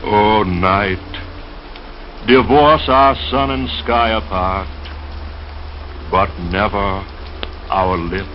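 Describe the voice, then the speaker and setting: A man with a fairly deep voice speaks as a dreamy late-night radio reader of the 1930s. His delivery is slow, solemn and romantic. The sound is muffled, with clear noise and crackle over it. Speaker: radio announcer reading poetry and sponsor message. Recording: dull, noisy vintage radio sound. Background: steady crackle and rain noise.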